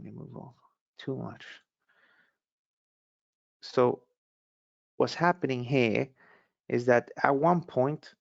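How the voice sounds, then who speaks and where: A man's voice: mid-range voice, vocal fry, a little mellow, no room sound. A man from Sweden giving a loose, rambling market commentary, with many restarts as he explains. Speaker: market analyst. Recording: dull, dry lo-fi sound.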